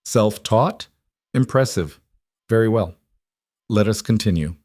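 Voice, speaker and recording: A reserved business partner on a call, voiced by a man in a storybook reading. He speaks formally and at a measured pace, low and dry. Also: darkish tone, no room sound. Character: reserved older Chinese business partner on phone.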